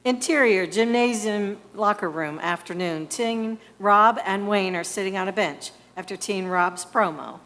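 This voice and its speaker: A woman reading screenplay stage directions in a crisp, matter-of-fact way. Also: medium pitch, a little slow, few pauses, fairly bright tone. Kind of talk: scripted narration. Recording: long hall reverb.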